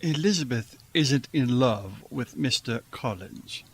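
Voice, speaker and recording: Radio drama patriarch, male actor: middle-aged, wry, unhurried delivery with lively, swinging intonation. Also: medium pitch, vocal fry, slightly rough, heavily acted. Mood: weary. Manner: droll, unhurried. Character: dry, wry, long-suffering middle-aged father. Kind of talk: radio play acting.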